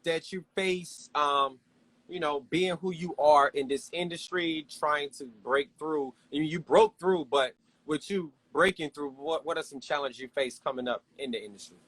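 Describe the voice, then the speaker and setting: A male interview host speaks in a slightly high voice, close and dry with no room sound. He keeps things friendly and hyped, dropping casual slang between questions. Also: moderate pace, fairly bright tone, no echo, poor audio. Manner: friendly, hype, uses slang.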